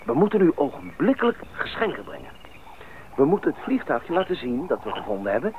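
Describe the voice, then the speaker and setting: A man playing a steady, reassuring member of a jungle expedition in a radio drama, with an animated delivery. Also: medium pitch, fairly quick, phone-quality sound. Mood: eager. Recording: thin old radio, faint hiss.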